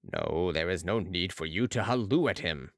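A man voicing a serene, commanding mesmerist with calm mystical authority in an audiobook. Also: low-pitched, normal speed, gravelly, dry recording, clean studio sound, pitched below the performer's own voice, heavily acted. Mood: assured. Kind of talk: audiobook character voice.

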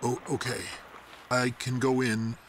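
A man's voice: mid-range voice, level tone, unhurried, slight background noise, lightly acted. Anxious, hesitant male doctor played by a man. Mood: nervous pleading. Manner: hesitant, stammering. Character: anxious, pleading male doctor, placating and evasive. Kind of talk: audio drama acting.

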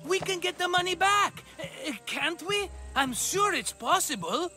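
An actor playing a middle-aged schemer in a video game, glib, theatrical and ingratiating. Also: male voice, very high-pitched, animated, a little slow, sharp tone, faint music behind, full character voice. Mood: coaxing. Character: wheedling, theatrical middle-aged Greek schemer, slippery and cheerful. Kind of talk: video game cutscene acting.

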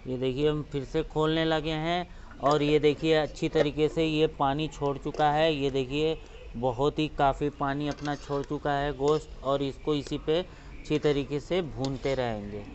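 A man's voice: on the high side, fairly even intonation, measured pace, darkish tone, muffled recording, slight background noise. A man hosting a cooking channel, walking through each step of a recipe outdoors beside a clay stove. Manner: explains each step, points things out.

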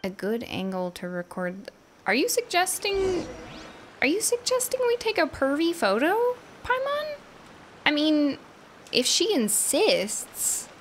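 A woman streaming a game with casual, playful, animated commentary, exclaiming and teasing as she goes. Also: sits high, slow pace, a little hiss or hum. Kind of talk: casual live gameplay commentary. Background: game music.

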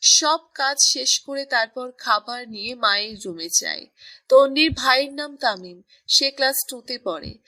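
A woman's voice: high-pitched, measured pace, sharp tone, low-quality recording. A woman reading scripted narration for a romantic story as its storyteller.

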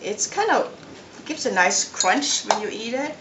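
A middle-aged woman with a Danish accent, giving a casual, unhurried cooking demonstration step by step. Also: mid-range voice, lively intonation, highs cut off. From Denmark. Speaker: home cook and cooking show host. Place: home kitchen, indoors. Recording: echoey room, loud noise, kitchen clatter.

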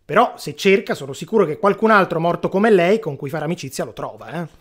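A podcast host voicing a brisk, wry afterlife clerk handling a queue in a comic stage sketch, matter-of-fact and sardonic. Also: medium pitch, lively intonation, husky. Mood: sardonic, impatient.

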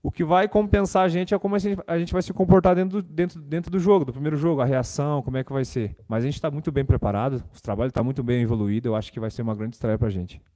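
Young man with a mellow voice, press room. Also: medium pitch, steady tone, quick pace, few pauses. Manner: casual, repeats words and fillers. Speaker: footballer at a press conference. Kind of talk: press conference answers. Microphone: desk microphone.

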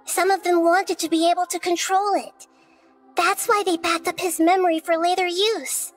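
A wise child goddess from a video game. Her voice is high and gentle. She speaks in measured, solemn phrases like a storyteller, over music. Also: fairly even intonation. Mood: grave explaining. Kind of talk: scripted video game dialogue.

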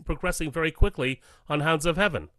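Male discussion guest, bright fairly high voice, conversational delivery. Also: a little fast, no echo. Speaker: panel guest. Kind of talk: casual discussion in an interview.

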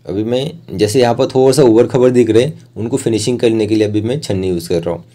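A man's voice: lowish voice, fast talker, mellow. A man giving an informal, plain step-by-step art tutorial as a voice-over instructor.

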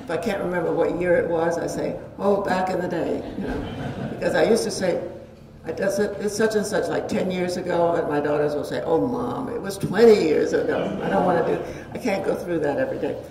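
An older woman giving an informal public talk, chatty and animated, her voice a bit hoarse. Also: lowish voice, brisk, crowd noise. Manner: chatty, animated, gestures a lot. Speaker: guest lecturer. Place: community meeting room. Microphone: stand mic at a podium. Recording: roomy hall sound, distant mic, audible hiss.

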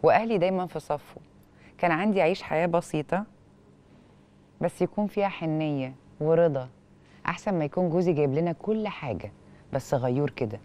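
A woman with an Egyptian accent hosting a television show, reading and commenting on a letter in an expressive, questioning way, on a lapel mic in a studio. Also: low voice, quick pace, long pauses. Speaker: television host.

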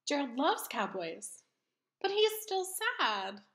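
A perky little storybook pig played by a woman, high and very melodic. She is earnest and caring toward her friend. The sound is slightly roomy. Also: big pitch swings, slow, fairly bright tone, full character voice. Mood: concerned. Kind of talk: children's picture book read-aloud. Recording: roomy echo.

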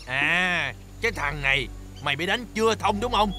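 A man's voice: sits high, lively intonation, moderate pace, loud music behind the voice. An actor playing a brutish, sadistic servant henchman with a southern Vietnamese accent, barking bullying questions over and over in a dramatic period style.

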